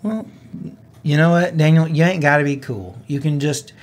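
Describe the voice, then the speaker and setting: A man's voice: a little high, moderate pace. A man co-hosting a gaming podcast in a casual, conversational way, with "like" sprinkled through his talk. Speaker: podcast co-host.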